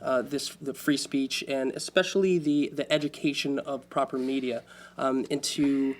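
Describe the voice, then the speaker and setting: A man's voice: mid-range voice, steady tone, normal speed. A man who sits on a public access station's board, speaking earnestly at a community forum and often restarting his sentences. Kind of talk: panel discussion.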